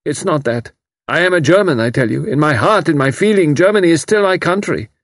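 A man's voice: medium pitch, moderate pace, no echo, studio quality, pitched above the performer's own voice, lightly acted. A man voicing an anguished, bitter young German refugee scientist who pleads with intensity.

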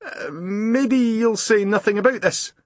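A man reading an audiobook voices a soaked, shaken Scottish postman in an excited, pleading tone. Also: a little slow, no echo. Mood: subdued. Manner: excitable, breathless, high-pitched pleading.

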